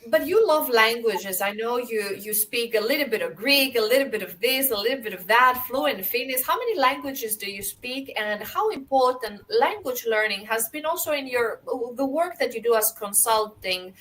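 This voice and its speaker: A woman's voice: fairly high pitch, animated, normal speed, hardly any pauses, fairly bright tone, slight background noise. A woman hosting a video podcast who asks questions in a friendly, conversational way over a video call. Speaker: podcast host. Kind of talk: casual interview chat.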